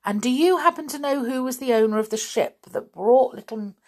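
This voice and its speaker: A woman voicing a big, excitable male police inspector in a children's story, exclaiming in an emphatic, folksy way. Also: high voice, fairly quick, pitched above the performer's own voice. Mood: satisfied.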